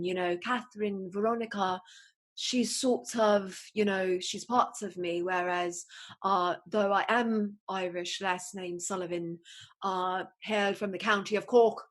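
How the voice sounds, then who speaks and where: A woman podcast host speaks at a medium pitch in a put-on British accent. Her delivery is theatrical and playful, sprinkled with terms of endearment, in the style of a casual, chatty show. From New England, USA. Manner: theatrical, playful, peppers talk with endearments like love and darling.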